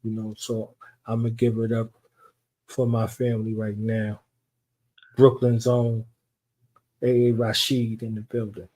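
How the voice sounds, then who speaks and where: Male talk radio host, dark mellow tone, even delivery, hyping up his guest. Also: fairly low pitch, measured pace, long pauses, low-quality recording. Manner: hype-style, repeats "you understand what I'm saying". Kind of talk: casual interview introduction.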